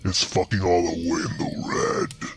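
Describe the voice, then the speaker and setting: A man voicing an old, ragged, angry male bear with a rough, raspy growl. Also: sits low, huge pitch swings, unhurried, shouting, music in the background, pitched below the performer's own voice, full character voice. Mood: scolding.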